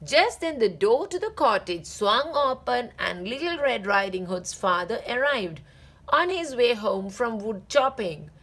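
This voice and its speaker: A woman hosting a read-aloud, narrating a children's story deliberately with drawn-out phrase endings. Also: a little high, very animated, a bit bright. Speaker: read-aloud channel host.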